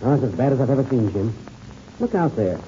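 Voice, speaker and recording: Seasoned, very mellow veteran flying ace played by a man. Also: mid-range voice, big pitch swings, quick pace, close to an everyday voice. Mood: confident. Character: seasoned veteran ace pilot, fatherly and steady. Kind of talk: 1930s radio serial acting. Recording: muffled, noisy old radio recording.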